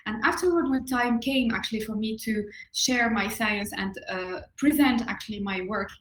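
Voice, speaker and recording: A woman's voice: fairly high pitch, moderate pace. Moroccan-born woman moderating, echoey room. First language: Moroccan Arabic. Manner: hesitant, many filler uhs. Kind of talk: live online panel moderation.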